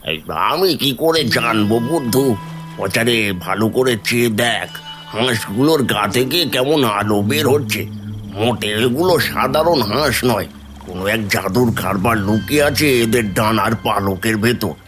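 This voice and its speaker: A man's voice: medium pitch, moderate pace, close to an everyday voice. A man playing a greedy, sly village thief in a fairy-tale cartoon, plotting and dismissive. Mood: scheming. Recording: music behind the voice.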